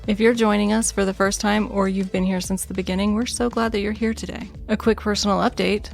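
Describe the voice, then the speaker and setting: A woman hosting a true crime podcast, reading scripted narration in a soothing, measured way. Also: medium pitch, flat delivery, creaky, soft background music. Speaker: podcast host.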